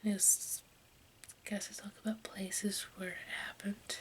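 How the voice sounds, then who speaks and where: A woman whispering breathily in an informal ASMR style, reading in a halting way and stumbling over words. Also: medium pitch, flat delivery. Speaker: ASMR video host.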